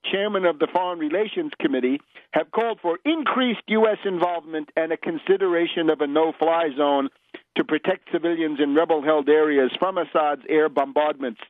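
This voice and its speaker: Radio host guy ranting politics, kinda higher voice, scornful and opinionated, stresses stuff by repeating it, very dry sound. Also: a little fast, dry recording. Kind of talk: unscripted radio political commentary monologue. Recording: dull, lo-fi radio audio.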